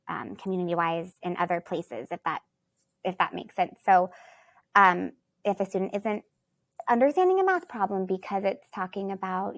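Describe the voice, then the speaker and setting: A woman's voice: mid-range voice, vocal fry, no room sound. A woman from Alaska, a third-grade teacher and guest, thinking aloud in a rambling way with many restarts and "you know"s. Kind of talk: conversational interview answers.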